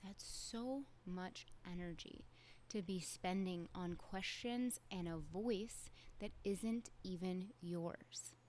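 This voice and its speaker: A woman's voice: fairly low pitch, slow, darkish tone, slight background noise. A young adult woman, hosting a solo podcast in a candid, conversational way with casual fillers. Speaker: podcast host.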